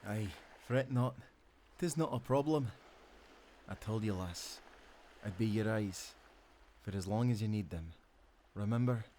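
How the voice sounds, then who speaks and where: A man's voice: on the low side, unhurried, pauses often, lightly acted. Man playing a tender Scottish sailor husband, ambient noise behind. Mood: tender, reassuring. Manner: tender, teasing, given to soft chuckles. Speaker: audio roleplay actor. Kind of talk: intimate romantic audio roleplay, old-fashioned seafaring speech.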